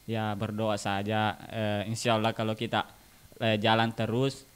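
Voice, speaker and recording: A man's voice: lowish voice, monotone, unhurried, clean tone. A man with a Bugis-Makassar Indonesian accent, speaking casually as a guest in an interview. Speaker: podcast guest.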